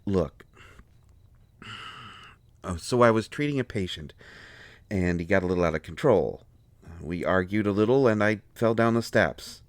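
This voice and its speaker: A man plays a harried male court doctor from a fantasy audio drama, with a low voice in a close, dry recording. He is protective and defensive, explaining himself to a lover with pleading exasperation. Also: lively intonation, a little slow, long pauses, no echo. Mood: evasive, explaining. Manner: pleading, exasperated, swinging from soft explaining to flustered outbursts. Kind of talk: dramatic audio roleplay, one side of a conversation.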